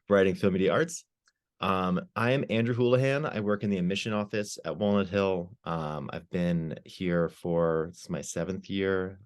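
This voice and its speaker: Deep-voiced man hosting a video call. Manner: relaxed, rambling, frequent ums. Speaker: admissions staff host. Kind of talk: hosting a virtual open house.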